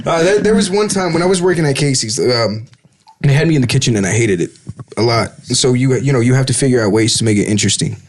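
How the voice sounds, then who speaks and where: A man guesting on a podcast, telling stories with a joking manner and a slightly husky voice. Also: medium pitch, lively intonation, fast, a little hiss or hum. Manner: jokey storyteller who slips into put-on accents. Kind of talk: casual podcast chat. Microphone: studio podcast headphones and mic.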